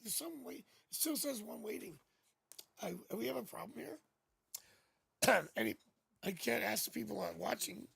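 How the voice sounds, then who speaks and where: A man with a raspy voice hosting a casual live tarot stream, chatting playfully and joking through a new studio microphone. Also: high voice, expressive, moderate pace, long pauses, a bit bright.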